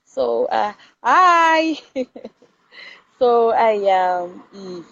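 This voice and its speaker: A woman's voice: a little high, lively intonation, extremely slow, a bit bright. A woman with a Zimbabwean accent gives an informal motivational talk to camera, speaking emphatically and repeating phrases to press her points. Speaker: host of live videos. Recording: dull lo-fi audio with clear background noise.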